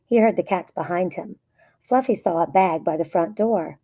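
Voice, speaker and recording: A woman reads a children's story aloud in short, plain sentences. Her voice sits slightly low for a woman and has a dark, soft tone with a noticeably husky texture. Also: moderate pace. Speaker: storybook reader. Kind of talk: scripted children's story narration.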